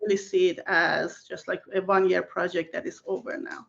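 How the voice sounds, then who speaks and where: Woman, gravelly fry, Persian first language, thoughtful answers full of fillers, muffled lo-fi video call audio. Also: on the low side, flat delivery, normal speed, fairly bright tone. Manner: thoughtful, many fillers and restarts. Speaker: research scientist guest. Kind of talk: casual interview answers.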